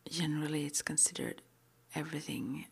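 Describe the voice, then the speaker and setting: A woman whispering an ASMR roleplay interview, playing a witch school interviewer with gentle, reassuring, hesitant phrasing. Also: low-pitched, little pitch movement, brisk, vocal fry, slightly rough.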